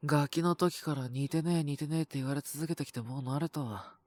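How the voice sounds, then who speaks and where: A man voicing a cocky, possessive young wolf-boy boyfriend with a cold, in an intimate audio roleplay, speaking bluntly and teasingly in a breathy, husky voice. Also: a little high, a little fast, a little mellow, no room sound, close to an everyday voice. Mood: sullen, aloof. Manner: blunt, rough casual speech, teasing, languid. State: sick with a cold and cough. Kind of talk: Japanese situation-voice roleplay aimed at the listener.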